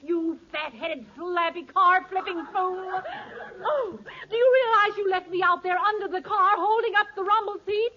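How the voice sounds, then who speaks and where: A woman's voice: very high voice, moderate pace. A woman playing an indignant, scolding, haughty wife in 1940s radio comedy. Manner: haughty, insulting, exasperated. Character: indignant, scolding wife with a wrecked-car grievance. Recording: muffled old radio broadcast.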